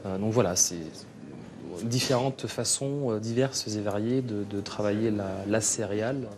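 A man, a chef explaining his work haltingly in an interview. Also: on the low side, a little mellow. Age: 30s. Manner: halting, searching for words. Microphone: lapel mic.

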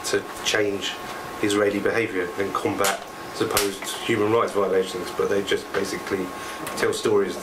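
Low, flat-toned man speaking from far off. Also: moderate pace, hardly any pauses. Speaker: audience member answering. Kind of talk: spontaneous spoken answer. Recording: distant audience voice, clear room hiss.

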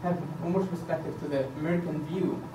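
A man in his thirties, raised in Egypt, presents himself at a podium in an earnest, halting way, filling pauses with "uh". His somewhat high voice is captured from a distance, so the room's echo and a steady hiss come through clearly. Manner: hesitant, many fillers, earnest. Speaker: board candidate. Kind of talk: campaign presentation. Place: meeting room indoors, at a podium. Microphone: far camera. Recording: echoey room from a distance, background hiss.

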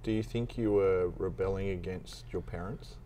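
A man's voice: sits low, steady tone, normal speed, mellow, a little hiss or hum. A man, hosting a podcast interview and asking curious, encouraging questions. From Australia. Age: 40s. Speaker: podcast host. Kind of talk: casual interview.

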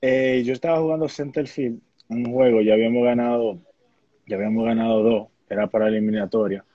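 Husky young Dominican man on a call. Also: mid-range voice, fairly even intonation, fairly quick, mellow, dry recording. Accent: Dominican Spanish. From Dominican Republic. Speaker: interview guest, baseball player. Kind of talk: casual conversational storytelling. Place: indoor room at home. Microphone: phone on a live video call. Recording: muffled video-call audio.

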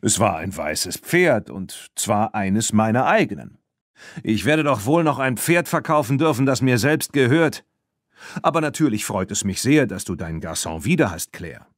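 A man's voice: lowish voice, sing-song, moderate pace, no room sound, clean studio sound. Slippery, defiant horse dealer for children's audiobook, smug and falsely courteous, read by a male narrator. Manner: smug, brazen, mock-polite.

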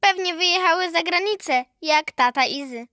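A woman's voice: very high-pitched, moderate pace, bright, forceful tone, no room sound, studio quality. A woman voicing a curious, earnest little granddaughter in a children's radio play.

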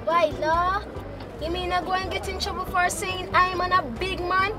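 A woman's voice: extremely high pitch, fairly even intonation, normal speed, over loud music. A woman playing a naive, boastful and curious young Jamaican boy with a cheeky, questioning manner in a children's puppet show. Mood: puzzled, boastful.

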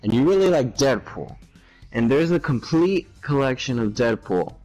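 A man's voice: medium pitch, big pitch swings, normal speed, darkish tone, soft background music, low-quality recording. A young man hosting a gaming session, chatting on a headset mic in a laid-back, teasing way and firing questions at his friends. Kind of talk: casual gaming voice chat.

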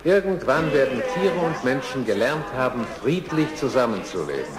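A man's voice: mid-range voice, very animated, moderate pace, background noise, close to an everyday voice. A man narrating a children's story in a scripted storybook style. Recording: roomy, with loud music behind.

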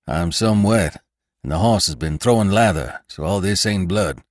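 A man's voice: low-pitched, moderate pace, no room sound, clean studio sound, close to an everyday voice. A man voicing a loyal, earnest cowboy rider in a western audiobook, with plainspoken, folksy phrasing. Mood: matter-of-fact. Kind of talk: audiobook character acting.

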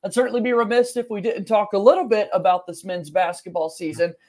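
High-voiced young man hosting a sports podcast. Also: fairly even intonation, fast talker, a little mellow. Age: young adult. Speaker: podcast host. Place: small home room, indoors.

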